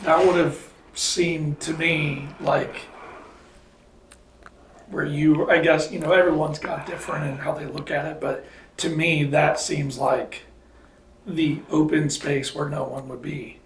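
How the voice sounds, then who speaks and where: Give me a mid-pitched interviewer in casual chat. The speech comes quick and halting, with frequent restarts. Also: fairly even intonation, a little hiss or hum, low-quality recording.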